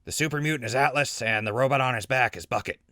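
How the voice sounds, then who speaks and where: An actor playing a sardonic, dramatic, tired wasteland wanderer with a casual way of talking in an audio drama. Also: male voice, medium pitch, fast talker, sharp tone, no echo, studio quality, natural voice. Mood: confident. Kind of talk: post-apocalyptic audio drama acting.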